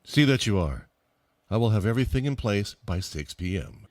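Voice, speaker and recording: A man's voice: lowish voice, animated, normal speed, no room sound, studio quality, natural voice. A man voicing a stern, businesslike associate in an audio drama.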